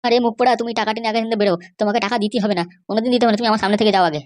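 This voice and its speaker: A woman's voice: on the high side, steady tone, racing, a little mellow, close to an everyday voice. A woman voicing an irritable, anxious young groom-to-be in a comedy cartoon, scolding in an exasperated way.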